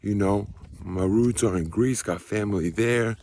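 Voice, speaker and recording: An American man giving a casual motivational testimony, husky and emphatic, repeating phrases in a preachy way for weight. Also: fairly low pitch, measured pace, a little mellow, slight background noise. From United States. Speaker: spiritual vlogger.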